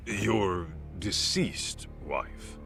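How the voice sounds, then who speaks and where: A man's voice: fairly low pitch, expressive, very slow, fairly bright tone, faint music behind, full character voice. A man playing a courteous, probing middle-aged private investigator in an English-dubbed video game drama. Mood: serious, probing. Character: gruff but courteous male private investigator.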